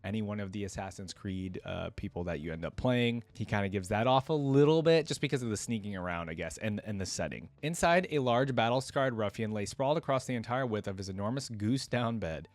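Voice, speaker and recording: A man's voice: fairly low pitch, animated, brisk, hardly any pauses, no room sound. A man hosting a reaction video, reading a story aloud and commenting casually as he goes.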